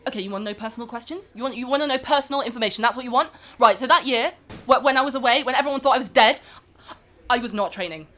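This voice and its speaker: A woman plays an overworked celebrity actress in a comedy interview. Her voice sits fairly high, with a hesitant, guarded politeness that strains toward frantic exasperation. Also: rapid-fire, fairly bright tone, close to an everyday voice. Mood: exasperated, frantic. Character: pampered, overworked film star who cracks under press tour. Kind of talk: improvised-sounding comedy podcast interview acting.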